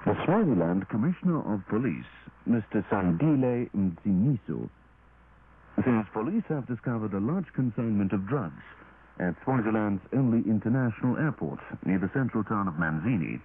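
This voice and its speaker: A man reading a formal scripted news bulletin with measured enunciation, on a 1980s shortwave radio broadcast. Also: lowish voice, sing-song. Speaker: radio newsreader. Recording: tinny, noisy 1980s shortwave radio recording.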